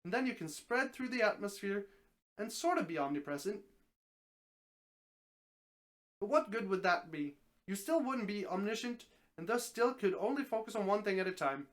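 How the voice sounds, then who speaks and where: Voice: mid-range voice, lively intonation, fairly quick, a bit bright, lightly acted. A reader voicing an affable male talking snake who grants wishes, explaining things patiently and confidently in a story. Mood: explanatory.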